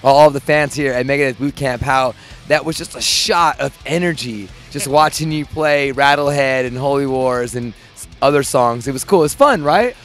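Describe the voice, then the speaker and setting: A man hosting an event video, energetic and hyped as he interviews people with a handheld mic. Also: mid-range voice, moderate pace, soft background music. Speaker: host.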